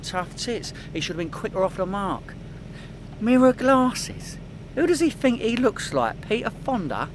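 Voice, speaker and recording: Man playing a high-voiced cocky London cabbie. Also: big pitch swings, unhurried, a little hiss or hum, low-quality recording. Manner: cheeky, rambling, blustering. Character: cocky, chattering small-time crook and minicab driver. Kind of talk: comedy radio play acting.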